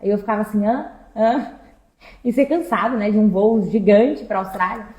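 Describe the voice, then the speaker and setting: A woman's voice: mid-range voice, moderate pace, mellow, muffled recording. A woman chatting casually and expressively, telling personal anecdotes and checking in with listeners. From interior of Minas Gerais, Brazil. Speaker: exchange agency staff member co-hosting a talk. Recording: echoey room.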